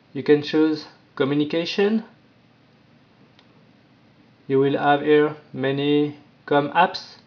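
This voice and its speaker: A man presenting an informal step-by-step app tutorial, with halting, non-native phrasing. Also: on the high side. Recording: dull, lo-fi sound.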